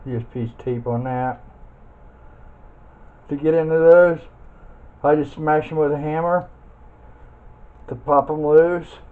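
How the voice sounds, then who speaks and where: A man's voice: a little high, fairly even intonation, takes it slowly, pauses often. A plainspoken, folksy man talking casually through a hands-on scrapping tutorial, muttering to himself as he works. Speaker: scrap metal hobbyist demonstrating teardown. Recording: muffled phone-like audio, loud background noise.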